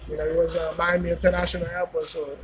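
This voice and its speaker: A man's voice: on the high side, little pitch movement, fast talker, over music. A man, answering interview questions in a loose, rambling way full of fillers and repeats. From Haiti. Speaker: interview guest. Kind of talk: casual conversational interview.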